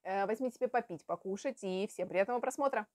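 A woman's voice: mid-range voice, fast, darkish tone. A woman in her twenties giving casual, chatty reaction commentary with some free swearing, indoors at home. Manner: informal, chatty, swears freely. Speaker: host of reaction videos. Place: small home room with bookshelves, indoors.

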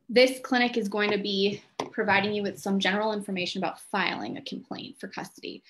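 A woman presenting a legal self-help clinic, explaining terms in a measured, plain-spoken way to a lay audience. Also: medium pitch.

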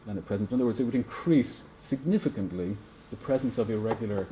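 A man in his forties giving a measured academic panel talk in a seminar room, hesitant with frequent restarts. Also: lowish voice, dark tone, a little hiss or hum, rough recording. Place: seminar room at a conference table.

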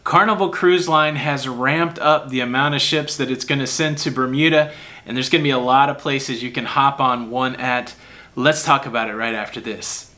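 A man's voice: medium pitch, fairly even intonation, normal speed, sharp tone. An American man in his forties hosting a casual cruise news update to camera from a hotel room. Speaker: cruise news video host. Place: small furnished hotel room, indoors. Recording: clearly audible hum, room air-conditioner noise.

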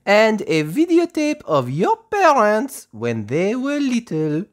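A woman voicing a kindly female gazelle schoolteacher in a storybook, with patient, drawn-out teacherly phrasing. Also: medium pitch, huge pitch swings, pitched above the performer's own voice. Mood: eager, teacherly.

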